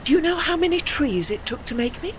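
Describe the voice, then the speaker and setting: An actor voicing a proud, ageing warship in an audio play, with formal, dry, witty and faintly pompous diction. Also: male voice, very high-pitched, animated, a little fast, a bit bright, slight background noise. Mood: proud, instructive.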